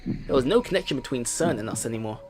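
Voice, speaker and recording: A man's voice: mid-range voice, lively intonation, fast. A man hosting a let's play, reading narration aloud and adding casual commentary, with music behind.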